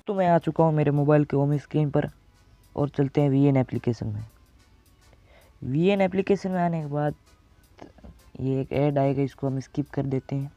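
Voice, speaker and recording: Teenage male, slightly high voice, casual tutorial presenter, friendly address to viewers, dry sound. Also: no echo. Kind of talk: casual step-by-step video editing tutorial.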